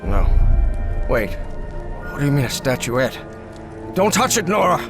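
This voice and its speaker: Devoted husband and troubled professor, theatrical, medium pitch, highly expressive, music underneath. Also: male voice, unhurried. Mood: alarmed, suspicious. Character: Harry Everhart, devoted husband and troubled professor, increasingly alarmed. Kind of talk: dramatic video game acting.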